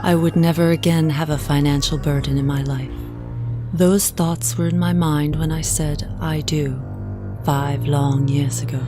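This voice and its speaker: A woman playing a composed young widow in an audio drama, telling her story in measured, formal, confiding words. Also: low voice. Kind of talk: audio drama first-person narration. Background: music.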